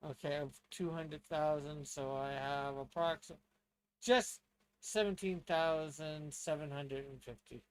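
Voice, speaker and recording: A man with a somewhat high voice chats as a player in a tabletop game. He thinks aloud casually, sounding hesitant and tentative as he works through choices. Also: a little slow, clean tone, poor audio.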